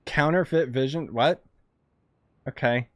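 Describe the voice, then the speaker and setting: A man's voice: mid-range voice, slow, no room sound, studio quality. A man giving casual, informal, slangy commentary as he plays through a game. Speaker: game streamer.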